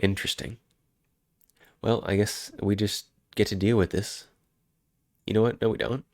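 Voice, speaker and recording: Congested low-voiced male streamer. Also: steady tone, moderate pace, no room sound, studio quality. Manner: rambling, self-interrupting, chuckling. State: congested from a cold. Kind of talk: casual live-stream chat.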